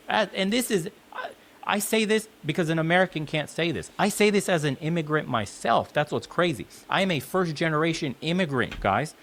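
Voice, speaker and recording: A man's voice: a little high, animated, normal speed, fairly bright tone. A man in a clip being reacted to, ranting his opinions bluntly, emphatically and with constant swearing.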